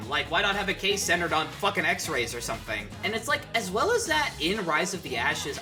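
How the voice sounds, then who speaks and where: A man giving a casual, opinionated video essay narration on games, with some swearing. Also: on the high side. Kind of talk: casual game review narration.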